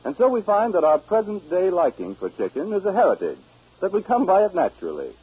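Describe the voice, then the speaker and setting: A male announcer delivers formal, scripted sponsor copy in 1930s radio style. His voice is fairly high and very husky, with emphatic rises and falls. The recording is old and muffled. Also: wildly swooping pitch, a little fast. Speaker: announcer reading sponsor's message.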